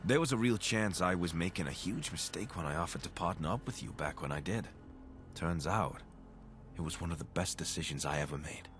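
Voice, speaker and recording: Low, expressive wasteland companion. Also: male voice, brisk, slight background noise. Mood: sincere. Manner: casual, hesitant, conversational. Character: wry, wounded wasteland companion, adult man. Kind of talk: video game companion dialogue.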